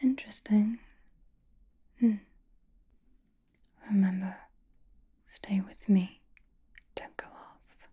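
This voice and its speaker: A woman voicing a doting, possessive, elegant vampire in an intimate, coaxing, breathy whisper for an ASMR roleplay. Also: mid-range voice, steady tone, dark tone, full character voice. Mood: conspiratorial. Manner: intimate, coaxing, slow and genteel.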